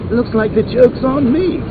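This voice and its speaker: An actor playing a manic, theatrical clown supervillain in a video game, cackling and taunting with playful wordplay. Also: male voice, extremely high pitch, heavy background noise, exaggerated voice. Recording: tinny lo-fi game audio with loud music.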